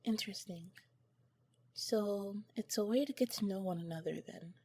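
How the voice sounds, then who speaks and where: A woman playing an awkward, earnest female alien scientist in an ASMR roleplay, soft-spoken, with formal, clinical wording and flustered hesitations. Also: medium pitch, slight background noise, natural voice.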